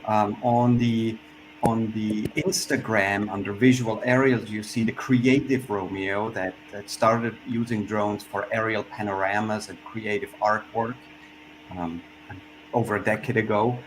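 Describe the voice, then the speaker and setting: Low-voiced man from Switzerland on a call. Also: fairly even intonation. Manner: reflective, with frequent pauses and restarts. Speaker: panelist. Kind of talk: online panel discussion. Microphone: video call. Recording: noisy video-call audio.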